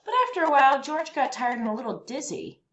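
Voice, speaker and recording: A woman reading a children's picture book aloud as a storyteller, with expressive, deliberate phrasing for young listeners. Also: high-pitched, lo-fi sound. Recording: roomy echo, faint background noise.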